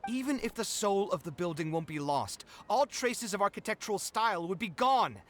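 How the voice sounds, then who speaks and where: A man's voice: high voice, animated, moderate pace. A man voicing a proud, touchy young architect in a video game, exasperated and indignant as he argues. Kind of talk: game voice acting.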